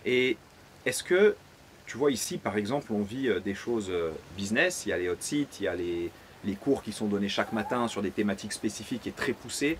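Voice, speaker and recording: A man hosting a casual interview, talking in a relaxed, chatty way with loose phrasing. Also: lowish voice, a little fast, a little hiss or hum. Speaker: interviewer and host. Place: outdoors by a pool.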